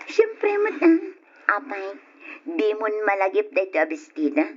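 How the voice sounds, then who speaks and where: A reproachful long-suffering wife, muffled. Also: female voice, mid-range voice, wildly swooping pitch, measured pace, slightly rough, full character voice. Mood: pointed, reproachful. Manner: reproachful, nagging. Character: long-suffering working wife and mother, scolding, exasperated. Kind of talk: Ilocano radio drama acting. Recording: muffled lo-fi old radio recording.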